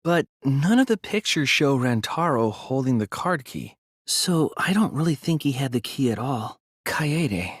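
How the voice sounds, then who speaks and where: A teenage boy detective in video game dialogue. The voice is mid-pitched and slightly husky, recorded dry and close. He speaks softly and earnestly, laying out his reasoning with care and a hesitant edge. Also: very animated, measured pace, dry recording. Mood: careful reasoning, then gentle encouragement. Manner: earnest, careful explaining, hesitant.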